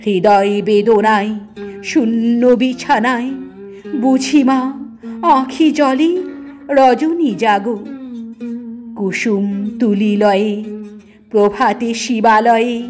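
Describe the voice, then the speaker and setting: Woman, poetry recitation, very slow delivery, higher-pitched voice, lingering phrases, deliberate pauses. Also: a little mellow, faint music behind. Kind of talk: expressive Bengali poetry recitation.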